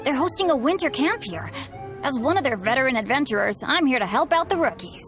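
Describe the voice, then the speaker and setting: A woman's voice: high voice, animated, a little fast, over music. A woman voicing an eager, polite, hapless teenage boy adventurer in a video game, speaking earnestly. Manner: earnest, apologetic. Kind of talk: video game character acting.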